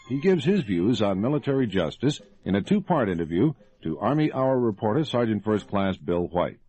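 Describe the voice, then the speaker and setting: An American man announces a scripted radio introduction with strongly varied, animated intonation. His voice is of medium pitch, and music plays faintly behind it on a slightly muffled old broadcast.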